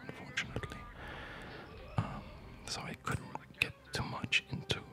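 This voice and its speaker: A man whispers breathily and haltingly in an unhurried ASMR chat while playing a game, close to a studio microphone at home. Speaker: ASMR gamer. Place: quiet home at night. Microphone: close studio condenser microphone.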